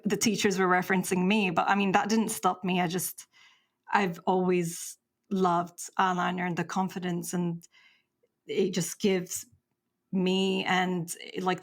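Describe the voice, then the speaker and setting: A woman hosting an interview, speaking in a low, flat tone with a gravelly edge. She talks personally and hesitantly, pausing often with soft fillers. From Egypt, raised in England. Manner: warm, personal, hesitant with many "um"s. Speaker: podcast host. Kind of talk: conversational interview.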